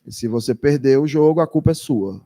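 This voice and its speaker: A man's voice: medium pitch, lively intonation, a little fast, mellow. A man doing consecutive interpretation for a lecture, speaking hesitantly with frequent restarts.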